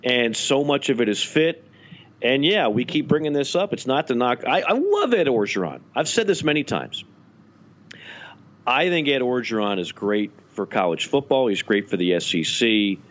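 A man's voice: medium pitch, sing-song, moderate pace, fairly bright tone, muffled recording. A man co-hosting a podcast, chatting casually and animatedly with frequent restarts. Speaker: podcast co-host. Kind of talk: casual sports talk.

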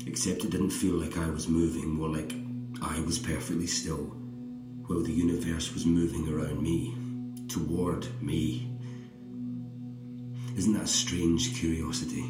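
Distraught astronaut, echoey room. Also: male voice, rumbling low, flat delivery. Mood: wondering, earnest. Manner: agitated, halting, emphatic. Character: distraught, suspicious astronaut returned after decades away. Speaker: actor in audio fiction. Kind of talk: audio drama acting. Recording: roomy echo, music underneath.

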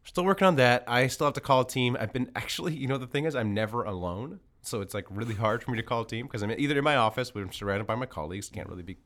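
A man's voice: mid-range voice, racing, hardly any pauses, fairly bright tone. A man co-hosting a comedy podcast, chatting casually and joking. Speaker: podcast co-host. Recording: music behind the voice.

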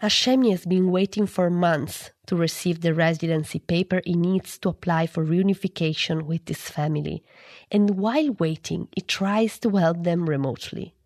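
A woman's voice: on the low side, moderate pace, darkish tone, no echo, clean studio sound. A woman reading scripted narration as a radio news reporter.

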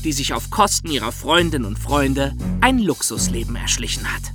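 A man's voice: medium pitch, very animated, normal speed, over music. A man co-hosting a scripted true-crime podcast, with dry, wry remarks. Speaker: podcast co-host.

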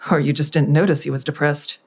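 An actress playing a plain-spoken, wounded grown daughter in naturalistic audio drama dialogue. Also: female voice, low voice, fairly even intonation, fairly quick, breathy edge. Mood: hurt, accusing. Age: adult.

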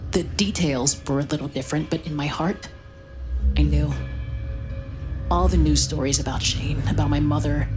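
Brooding first person drama narration, low voice, grave, music playing behind. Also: female voice. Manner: grave, confiding. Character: brooding young woman narrating her haunted past.

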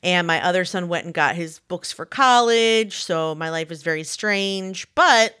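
A woman in her forties talking in an animated, self-deprecating way as a co-host in a casual chat. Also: on the low side, a little slow, smooth. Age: 40s. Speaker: podcast co-host.

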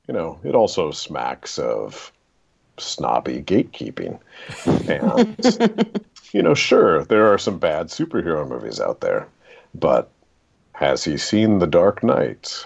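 A man telling anecdotes as a guest author in an interview, with a dramatic, deliberate storytelling manner. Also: very deep voice, gravelly, darkish tone, slightly rough, highs cut off. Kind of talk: podcast interview, personal anecdotes.

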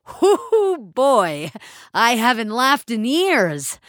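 A woman narrator acts out a portly male cop from an audio story, mild and bemused. He talks slowly and plainly, with a lively rise and fall, in a dry, close sound. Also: on the high side, no echo, voice pushed up, full character voice. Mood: amused. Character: portly male police officer, drugged, amiable, bemused. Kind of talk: character voice in narrated audio fiction.

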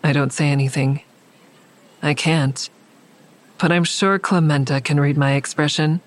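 A woman's voice: deep voice, fairly even intonation, unhurried. An actress playing a guarded, callous woman who narrates her own thriller in a restrained, probing way. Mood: guarded, tense. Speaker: narrator and lead character. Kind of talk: first-person audio drama narration and dialogue.

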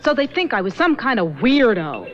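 A woman's voice: on the high side, sing-song, a little fast. An actress playing an earnest young woman in a radio drama who persistently pushes to go to the police. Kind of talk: 1970s radio drama acting. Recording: lo-fi old radio broadcast with hiss.

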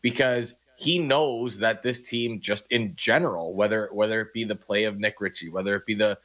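A man's voice: on the low side, normal speed, fairly bright tone. A man co-hosting a sports radio show, talking casually and restarting his sentences often as he argues his points.

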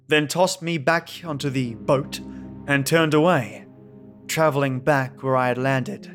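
Higher-voiced man narrating horror over music. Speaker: storyteller. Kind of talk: scripted first-person dramatic horror narration.

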